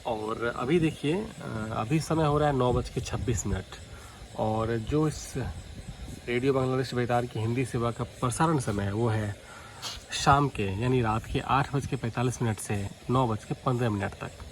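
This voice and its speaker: A man's voice: mid-range voice, normal speed. A man with an Indian accent explains things casually to viewers in a vlog, often restating his points. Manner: explanatory, repeats points, addresses viewers directly. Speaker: vlogger, shortwave radio hobbyist. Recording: clearly noisy home recording, radio static.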